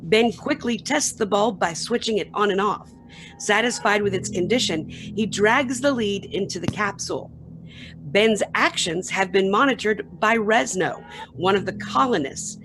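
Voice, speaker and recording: A woman delivers scripted narration for a radio play reading. Her pitch sits in the middle of the female range, and she reads stage action as a storyteller. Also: a little slow, noisy background.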